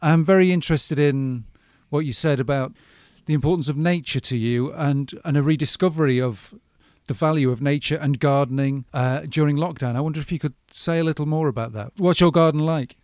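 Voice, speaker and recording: A man interviewing a guest, asking gentle, measured questions. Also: on the high side, no echo, clean studio sound. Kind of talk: radio interview.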